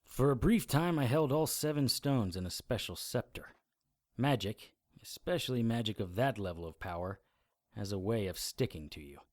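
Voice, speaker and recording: A man acting a brisk, commanding occult adventurer in an audio drama, instructive and matter-of-fact. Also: lowish voice, lively intonation, darkish tone, natural voice. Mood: grave, explaining. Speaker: lead actor and narrator. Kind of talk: audio drama acting and first-person narration.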